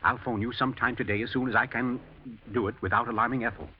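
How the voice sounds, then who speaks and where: An actor playing a shrewd, suspicious master of the house, urgent and dryly genteel, in a 1940s-style radio drama. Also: male voice, mid-range voice, steady tone, fast, a little hiss or hum, close to an everyday voice. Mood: secretive, urgent. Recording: muffled, grainy old radio broadcast.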